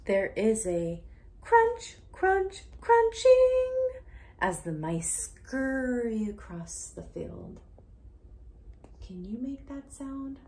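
A woman reads a children's story aloud at an unhurried pace. Her pitch leaps and dips expressively, turning sing-song on sound words and hushed in asides. She addresses young listeners directly, recorded in a room at home with slight background noise. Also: sing-song. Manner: slow, deliberate reading with questions to the listener. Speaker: children's story reader, educator. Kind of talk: interactive read-aloud of a picture book for young children. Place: small indoor room at home.

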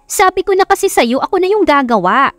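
A woman's voice: very high-pitched, sing-song, a little fast, no room sound, voice pushed up. A woman playing a feisty young wife in an audio love story, snappy, sarcastic and easily annoyed.

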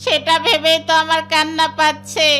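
A woman voicing a naive, tearful young male fox in a children's cartoon, pleading in a whiny, drawn-out way. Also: high voice, flat delivery, sharp tone, faint music behind. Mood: wailing. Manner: whining, wailing, drawn-out pleading.

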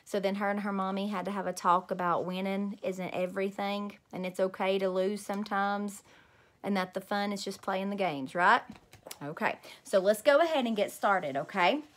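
A woman in her thirties reading a children's story aloud in an animated, emphatic way. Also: mid-range voice, moderate pace. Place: small room at home.

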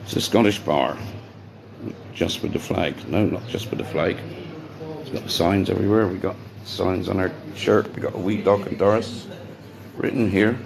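A man chatting casually as he vlogs his day as a bar worker, rambling and greeting people as he goes, his voice going hoarse. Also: low voice, moderate pace. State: voice getting hoarse. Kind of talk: casual walk-and-talk vlog. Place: outdoors on streets by a seafront. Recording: street noise behind the voice.

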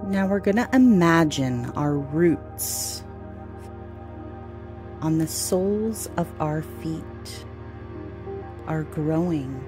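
A woman leading a guided meditation as host, speaking soothingly in short, deliberate phrases with long pauses. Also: low voice. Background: music.

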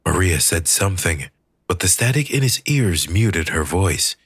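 A man narrating a scripted work of fiction as an audiobook reader, with a husky voice. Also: sits low, big pitch swings, a little slow, fairly bright tone, no echo, clean studio sound.

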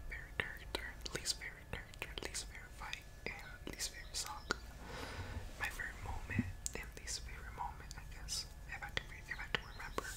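A young man whispering casual ASMR into a close condenser mic in a bedroom, rambling informally and correcting himself as he goes. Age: young adult. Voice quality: unvoiced breathy whisper. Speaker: ASMR video creator. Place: bedroom at home. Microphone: large USB condenser mic, close up.

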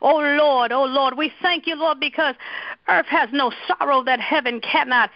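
A woman's voice: sits high, normal speed, no echo, dull audio. A woman leading a fervent spoken prayer, intoning scripture verses and breaking into tongues, her voice tearful at times. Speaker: prayer leader.

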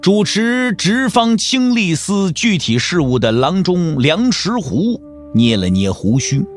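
Animated male storyteller, higher-pitched. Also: slow pace, faint music behind. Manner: theatrical, wry, with rhetorical questions. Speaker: audiobook narrator. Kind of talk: dramatic storytelling narration of a martial-arts novel.

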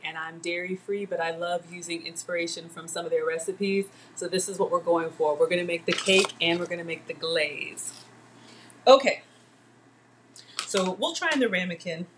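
A woman's voice: fairly low pitch, normal speed, fairly bright tone, a little hiss or hum. A middle-aged woman hosting a casual live cooking demo from her home kitchen, chatting with viewers as she works. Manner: chatty, talks to viewers and names commenters. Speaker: home cooking livestream host. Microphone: fixed camera at counter distance.

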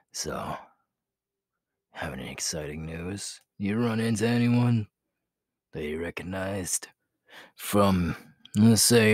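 A man voicing a cocky, possessive, cruel young werewolf king in an ASMR audio roleplay, husky and breathy, often dropping to a whisper, with a teasing, menacing manner. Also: low voice, no echo, studio quality, heavily acted. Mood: probing. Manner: slow, teasing, menacing drawl.

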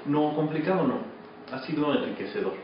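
A man's voice: on the high side, expressive, fairly quick. Mexican man in a very echoey room. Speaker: radio drama actor being interviewed. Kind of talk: casual interview answers. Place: small office. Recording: echoey, distant, muffled, noisy camera audio.

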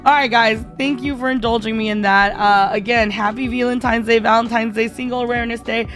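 A woman streamer with a hoarse voice, singing a ballad and then chatting with viewers in a bubbly, giggly way. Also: a little high, fairly even intonation, a little fast. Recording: faint piano backing music. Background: soft piano backing during the song.

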